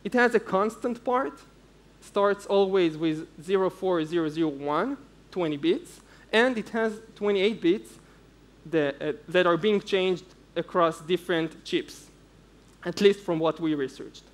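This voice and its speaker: Young man, high voice, technical conference talk, informal, step-by-step explanation. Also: measured pace. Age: young adult. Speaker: conference speaker, security researcher. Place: indoor stage at a conference.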